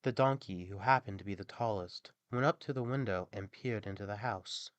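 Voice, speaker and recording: A man's voice: on the low side, moderate pace, dry recording. Theatrical male storyteller, dry close sound. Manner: expressive, theatrical bedtime-story delivery. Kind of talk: scripted fairy tale narration.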